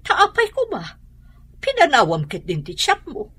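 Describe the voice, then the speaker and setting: A woman's voice: high-pitched, wildly swooping pitch, measured pace, fairly bright tone, full character voice. A woman playing a worried middle-aged mother with an Ilocano Filipino accent in a radio drama, questioning with concern. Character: worried, fussing mother of a grown son.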